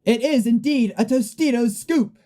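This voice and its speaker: A woman's voice: fairly high pitch, normal speed, dark tone, lightly acted. A young woman playing a male play-by-play sports announcer in a comic radio play, with dramatic emphasis. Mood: excited, amazed. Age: young adult. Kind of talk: parody live sports commentary in a radio play.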